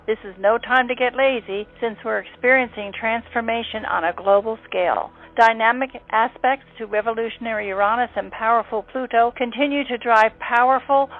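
A woman's voice: a little high, fairly bright tone, poor audio. A woman presenting an astrology segment on radio in a scripted narration style.

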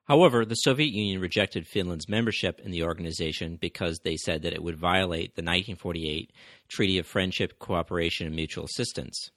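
A man's voice: deep voice, brisk, a bit bright, no echo, studio quality. A man hosting a history podcast, reading a scripted narration steadily, with the odd stumble and restart. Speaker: podcast host.

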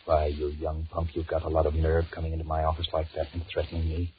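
Cowardly, blustering middle-aged executive, very low voice, anxious stammer and muttered thoughts, old-time radio horror, dull noisy recording. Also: male voice, completely flat delivery, quick pace. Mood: brooding, indignant. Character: panicky married businessman, blustering and cowardly, secretly scheming. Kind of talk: 1940s radio horror acting with inner monologue.

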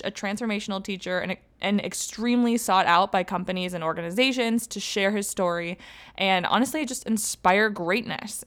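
A woman hosting a podcast, reading a guest introduction and then asking questions in a chatty, upbeat way. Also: medium pitch. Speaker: podcast host. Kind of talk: podcast interview, scripted intro.

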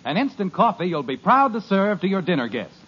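A man's voice: high voice, very animated, a little fast, slightly rough. A man announcing and reading coffee ads on a 1950s radio show, with a polished, emphatic sales delivery. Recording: dull lo-fi 1950s radio recording.

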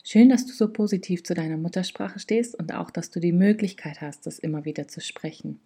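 Mellow-voiced woman interviewer. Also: on the low side, fairly quick. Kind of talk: conversational interview questions.

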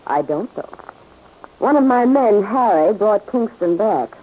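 A woman's voice: mid-range voice, expressive, a little slow, slightly rough. An actress playing a tough, defiant female crime boss in 1940s radio style, curt and hard-edged. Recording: muffled, noisy old radio recording.

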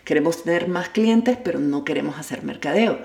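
Low-voiced woman coaching in an echoey room. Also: lively intonation, moderate pace, gravelly, mellow. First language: Spanish. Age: adult with a child at home. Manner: candid, self-deprecating, addresses the listener directly. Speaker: life coach and podcast host. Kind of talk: motivational monologue.

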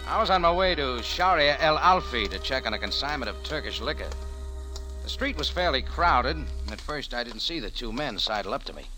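An actor playing a tough, wisecracking cafe owner in a 1940s radio noir, with dry, hard-boiled delivery. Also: male voice, mid-range voice, sharp tone. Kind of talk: 1940s radio acting, noir narration and dialogue. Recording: old radio drama recording.

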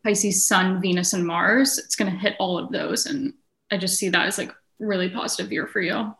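A woman's voice: mid-range voice, steady tone, moderate pace, gravelly, fairly bright tone, reverberant. A woman speaking as an astrologer, giving a casual, conversational reading with tentative phrasing and lots of "like". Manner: hedging, frequent use of "like". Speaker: astrologer guest.

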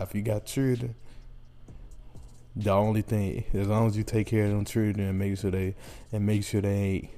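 A man's voice: lowish voice, vocal fry, dark tone. A man co-hosting a casual, profane comedy chat, repeating phrases for emphasis. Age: 20s. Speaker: podcast co-host.

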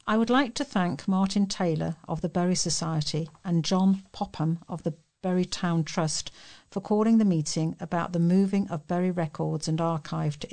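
A woman's voice: fairly low pitch, a little mellow. A British woman reading local news articles and letters aloud as a volunteer newsreader. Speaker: volunteer newsreader for a talking newspaper.

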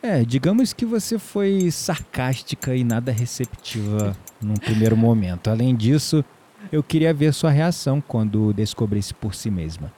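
Um ator brasileiro interpretando um homem tranquilo, brincalhão e sedutor numa áudio série, em tom de conversa. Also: male voice, medium pitch, lively intonation, normal speed, warm tone, a little hiss or hum, close to an everyday voice. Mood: playful, teasing. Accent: Brazilian. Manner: teasing, chuckling, conversational. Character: easygoing, flirtatious man, herb grower, playful and warm. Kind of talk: audio drama dialogue.

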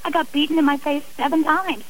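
A woman's voice: high-pitched, fairly even intonation, fairly quick, poor audio. A woman speaking earnestly and emphatically as a guest on a radio interview.

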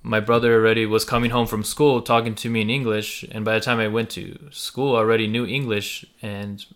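A man's voice: fairly low pitch, little pitch movement, a little fast, a bit bright. A man born in the United States to a Russian- and Ukrainian-speaking family, co-hosting a podcast and talking casually and hesitantly with many fillers. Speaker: podcast co-host. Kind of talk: casual conversation.

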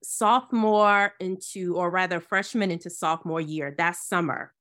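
Earnest woman from Ghana, measured speech. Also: fairly low pitch, fairly bright tone, no echo. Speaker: guest, Bible teacher. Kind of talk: interview, personal testimony.

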